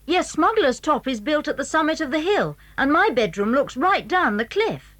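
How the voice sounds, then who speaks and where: A woman's voice: high-pitched, sing-song, moderate pace, fairly bright tone. A woman playing a clever, secretive British schoolboy in a children's audio drama, eager and well-spoken as he explains things. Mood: eager, proud. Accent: British English. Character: clever, secretive schoolboy showing friends his house.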